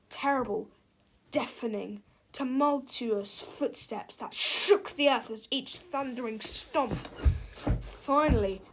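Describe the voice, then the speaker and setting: Female storybook reader: high-pitched voice, measured slow pace, dramatic read-aloud narration for children. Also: background noise.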